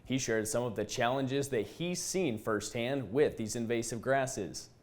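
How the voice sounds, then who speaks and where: A man's voice: medium pitch, measured pace, darkish tone. A man hosting an agricultural news segment, reading scripted narration in a broadcast news style. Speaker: news host.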